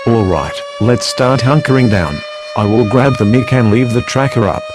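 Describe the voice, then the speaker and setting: A man's voice: mid-range voice, moderate pace, over loud music, lightly acted. A man playing a composed television news anchor covering a storm, formal and matter-of-fact in a scripted broadcast. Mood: concerned.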